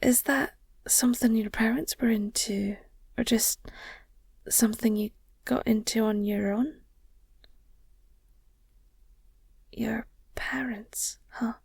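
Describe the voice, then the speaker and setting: A woman acts out an affectionate, slightly nerdy werewolf girlfriend in an intimate audio roleplay. Her voice sits fairly high and is very breathy, often slipping toward a whisper. She talks slowly and tenderly, with hesitant pauses and a supportive, gushing manner. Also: fairly even intonation, a little mellow, dry recording, heavily acted. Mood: hesitant, curious.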